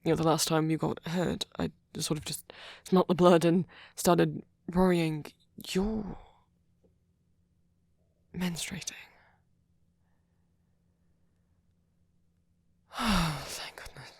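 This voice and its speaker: A man playing a tender, fretful vampire boyfriend in an ASMR roleplay, speaking softly and hesitantly, often close to a whisper. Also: fairly high pitch, steady tone, slow, no room sound, full character voice. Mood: flustered, apologetic. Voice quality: breathy, often near whisper. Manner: soft-spoken, hesitant, stammering, tender. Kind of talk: ASMR roleplay audio drama.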